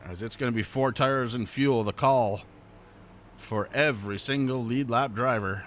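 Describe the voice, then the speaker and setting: A man with a Vermont accent handles play-by-play for an online racing broadcast. His pitch sits in the middle range, and it jumps high and loud when cars crash. In between he laughs easily with his co-host. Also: unhurried, slight background noise. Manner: excited pitch jumps when calling wrecks. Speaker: play-by-play commentator. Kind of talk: sim racing commentary.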